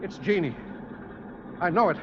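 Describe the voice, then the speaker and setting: A man's voice: sits high, animated, normal speed. An actor playing an urgent, emotional airline captain in an old-time radio drama, pleading for news of his wife. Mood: frantic, impatient. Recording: muffled old radio broadcast, heavy noise.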